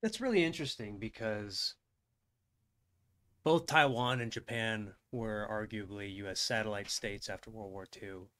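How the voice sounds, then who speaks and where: A man's voice: lowish voice, measured pace, fairly bright tone. A man hosting a live Q&A, asking careful, probing questions and thinking them through as he speaks. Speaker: host and interviewer. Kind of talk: live Q&A interview.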